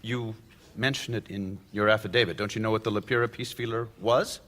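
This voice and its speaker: An actor playing a sharp, relentless defense lawyer cross-examining a witness in a courtroom radio drama. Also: male voice, lowish voice, fairly even intonation, fairly quick, lightly acted. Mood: pressing.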